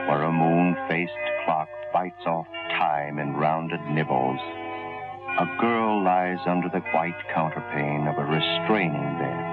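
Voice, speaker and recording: A man narrating a vintage radio thriller in an ominous, theatrical, florid style. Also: very deep voice. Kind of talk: 1940s radio drama narration, scripted. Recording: muffled vintage radio recording, loud music.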